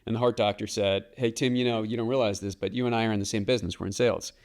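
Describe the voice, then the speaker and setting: Podcast guest, man, late 30s, from the DC area; resonant, low, unhurried and reflective. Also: steady tone. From Washington DC area, US. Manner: measured, thoughtful, with frequent ums. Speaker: guest, real estate broker. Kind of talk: casual podcast interview.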